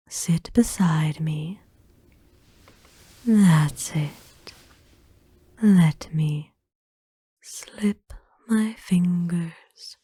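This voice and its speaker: A woman performs a kind female vampire owner in an ASMR roleplay. Her voice is very mellow and lowish, mostly whispered in a dry, close space. She is gentle, formal and reassuring. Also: slightly rough, no echo, full character voice. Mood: tender, concerned.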